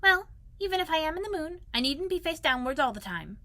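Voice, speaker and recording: A woman voicing a small, eager, timid young male piglet in a storybook, with a high squeaky voice. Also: big pitch swings, a little fast, sharp tone, full character voice. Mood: puzzled. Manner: eager, childlike.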